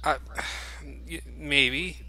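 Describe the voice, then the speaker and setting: A man's voice: a little high, steady tone, extremely slow, sharp tone, low-quality recording. A man commentating over a video game, joking sarcastically and swearing freely. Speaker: let's play commentator. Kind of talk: casual gameplay commentary.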